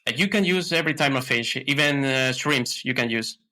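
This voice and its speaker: A man's voice: medium pitch, fairly quick, sharp tone. A man from Ecuador, answering podcast questions in a hesitant way, with many fillers and restarts. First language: Spanish. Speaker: podcast guest. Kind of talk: casual interview answers.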